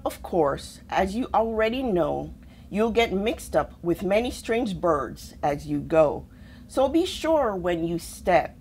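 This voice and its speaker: A woman reading a children's picture book aloud in a deliberate, expressive way, with pauses between phrases. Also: lowish voice, a little hiss or hum. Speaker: storybook reader, army officer.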